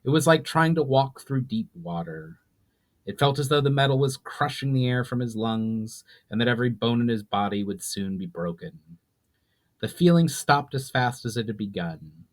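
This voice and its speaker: A man's voice: mid-range voice, normal speed. A man reading his own fantasy book as a dramatic audiobook narration.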